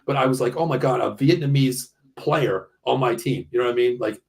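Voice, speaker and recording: A man's voice: medium pitch, a little fast, gravelly, darkish tone, husky. An American man in his early fifties hosting a casual sports show, talking bluntly and often repeating himself. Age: early 50s. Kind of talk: casual sports banter with ad reads.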